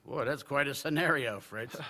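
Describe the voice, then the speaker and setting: An actor playing a blunt, wry middle-aged newspaper editor in a radio drama. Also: male voice, mid-range voice, sharp tone, long reverb tail, exaggerated voice.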